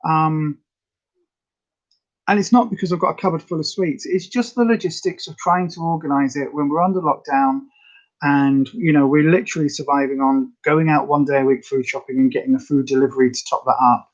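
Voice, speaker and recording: A woman with a slightly raspy voice, chatting casually and rambling to viewers as the host of a live health update. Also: low-pitched, steady tone, fairly quick, dull audio. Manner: chatty, rambling, many fillers.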